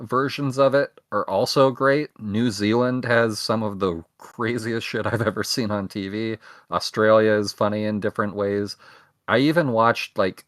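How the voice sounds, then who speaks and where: A man's voice: lowish voice, a bit bright, no room sound, studio quality. A young man co-hosting a podcast, rambling casually with self-mocking jokes. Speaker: podcast co-host. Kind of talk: casual chat.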